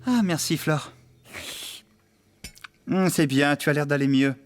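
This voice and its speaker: A man's voice: on the high side, slow. An actor playing a jovial farmhand with a showman's streak in a French children's cartoon, friendly and theatrical. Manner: theatrical announcing, swinging to friendly chat. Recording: music behind the voice.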